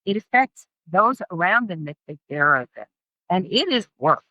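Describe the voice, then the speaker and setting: A woman's voice: fairly low pitch, big pitch swings, normal speed, pushed and bright, no room sound, highs cut off. A middle-aged woman speaking as an interview guest and care specialist, explaining and advising emphatically and repeating phrases for stress.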